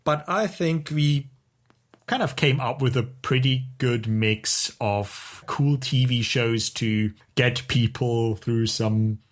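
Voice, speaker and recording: A man's voice: mid-range voice, slow pace. A man talking casually and enthusiastically as co-host of a podcast.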